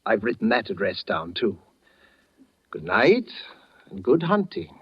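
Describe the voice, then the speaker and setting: An actor playing a sinister, money-hungry gentleman with over-polite, insinuating manners, in 1940s radio suspense style. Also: fairly low pitch, wildly swooping pitch, a little slow, over loud music. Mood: smooth, insinuating. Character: sinister, courteous, money-hungry man with weak eyes, holding a gun. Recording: dull vintage 1940s radio recording.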